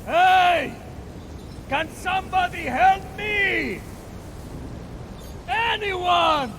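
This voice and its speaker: A stranded game god, desperate and pleading. The cries are extremely high, drawn out very slowly, with faint rumbling noise behind. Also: male voice, lively intonation, heavily acted. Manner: shouting, pleading.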